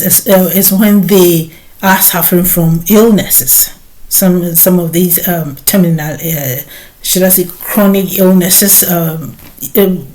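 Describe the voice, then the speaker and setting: A middle-aged woman from Ghana, a nurse hosting her own vlog, talking slowly with many hesitant pauses. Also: on the low side, rough recording. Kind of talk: personal talk to camera.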